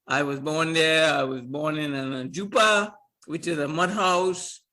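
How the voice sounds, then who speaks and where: An older man, a podcast guest telling his life story in an animated way, chuckling and repeating words as he goes. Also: on the high side, a little slow. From Trinidad and Tobago. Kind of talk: casual storytelling interview.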